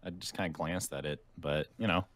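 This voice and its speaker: A man's voice: sits low, fairly even intonation, brisk, fairly bright tone, no room sound. A man playing a bumbling, evasive young survivor in improvised game roleplay, stammering and hesitant. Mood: sheepish, upbeat.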